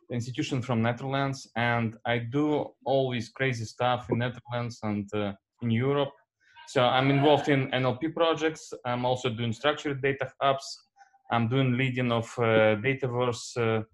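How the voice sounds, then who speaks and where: Man on a video call, enthusiastic, reels off lists. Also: medium pitch. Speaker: senior data scientist. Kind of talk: casual self-introduction on a call.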